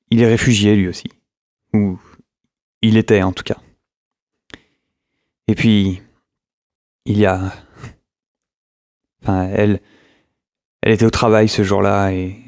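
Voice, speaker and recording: A man acting a weary, isolated survivor who records a halting, brooding audio diary for a radio drama. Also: fairly low pitch, a little mellow, no room sound, studio quality, close to an everyday voice. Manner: halting, brooding, with pauses and hesitations. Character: weary, isolated male survivor holed up in a flat. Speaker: narrator and lead actor.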